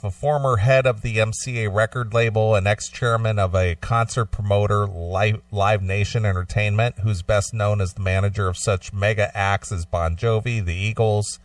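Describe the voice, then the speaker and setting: A man's voice: on the low side, normal speed, a bit bright, dry recording, recorded from a distance. A man co-hosting a radio talk show, reading out news items and adding casual commentary.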